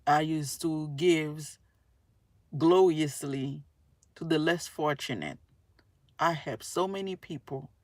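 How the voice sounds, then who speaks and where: A woman's voice: sits low, slow, pauses often, darkish tone, dry recording. A woman giving a casual, earnest, rambling monologue to her viewers, often repeating her phrases. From Haiti. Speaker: tarot reader and spiritual video creator.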